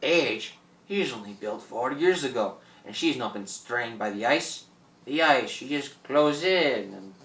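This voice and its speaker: An actor playing a boastful, menacing old pirate in a theatrical radio play. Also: male voice, medium pitch, lively intonation, measured pace, fairly bright tone. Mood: indignant. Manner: theatrical, gloating, cackling. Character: boastful old French pirate, greedy and menacing, newly revived. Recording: roomy, distant microphone.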